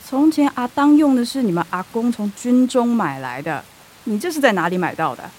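A woman's voice: on the high side, big pitch swings, normal speed, a little mellow, husky. An actress playing a practical, caring middle-aged mother in a radio drama, speaking with a Taiwanese accent in a coaxing, explanatory way.

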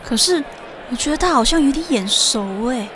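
A woman's voice: high voice, expressive, normal speed, background noise. A woman playing a friendly, outgoing, chatty teenage fan in an audio drama. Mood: puzzled. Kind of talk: Chinese audio drama acting. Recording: music under the voice.

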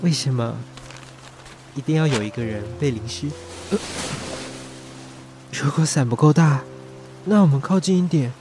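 A man's voice: on the high side, over music, natural voice. A man with a Taiwanese Mandarin accent voicing an impulsive, cheerful young rich heir who laughs and gets flustered easily. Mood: playful. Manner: playful, eager, quick to laugh and fluster. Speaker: voice actor. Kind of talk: amateur radio drama acting.

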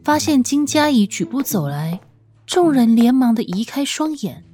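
A woman reading scripted fiction as an audiobook narrator, with an expressive, measured, dramatic storytelling delivery. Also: fairly high pitch. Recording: music under the voice. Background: background music.

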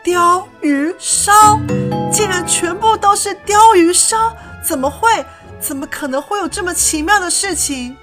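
A woman voicing an eager, wide-eyed young boy in a children's storybook, with an excitable, exclaiming manner. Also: very high voice, a little slow, voice pushed up. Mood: amazed. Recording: music behind the voice.

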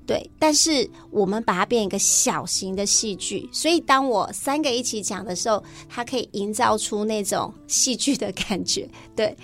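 Female teacher guest, Taiwanese Mandarin, mid pitch, conversational and affirming, soft music bed. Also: moderate pace, few pauses. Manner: frequent affirmations, mixes in English terms. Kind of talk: conversational interview explanation.

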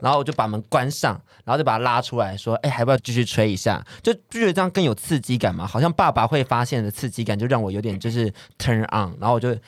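A man's voice: mid-range voice, fast talker, few pauses, no echo, studio quality. A man with a Taiwanese accent hosting a podcast, chatting candidly and telling stories with theatrical exaggeration. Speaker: podcast host. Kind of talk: casual, candid storytelling chat.

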